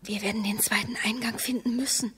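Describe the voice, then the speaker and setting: A very breathy, doubting wife in a radio drama, speaking hesitantly in a fairly high voice. The sound carries a long, hollow reverberation. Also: female voice, fairly even intonation, a little fast, a bit bright. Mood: doubtful, suspicious. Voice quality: breathy, often whispery. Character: wary, doubting wife exploring underground with her husband. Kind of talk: German radio play acting.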